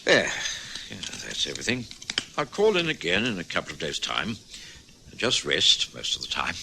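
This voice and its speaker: A man narrating and playing a respectable general practitioner in a radio drama, with a brisk, reassuring manner. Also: sits low, huge pitch swings, creaky, full character voice. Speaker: narrator and doctor. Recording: old radio broadcast with faint hiss.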